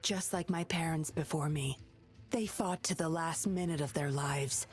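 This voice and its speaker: An actress playing a mysterious former patroller in a video game, composed, firm and solemn. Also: female voice, sits low, moderate pace, faint music behind, close to an everyday voice. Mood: resolute. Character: mysterious, resolute former patroller woman, cool and secretive. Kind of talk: dramatic video game voice acting.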